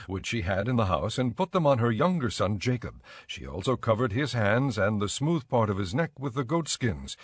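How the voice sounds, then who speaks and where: Dry, measured male scripture narrator. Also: on the low side, no echo.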